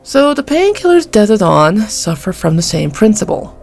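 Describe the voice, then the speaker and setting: A woman's voice: medium pitch, sing-song, moderate pace, vocal fry, a little mellow, faint music behind. An actress playing a blunt woman gamer who explains things in a casual way. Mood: explaining.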